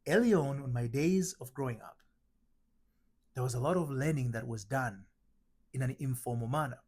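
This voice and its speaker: A middle-aged man from Tanzania giving a spiritual teaching talk, slow and emphatic, asking rhetorical questions. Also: medium pitch, darkish tone. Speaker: identity transformation coach.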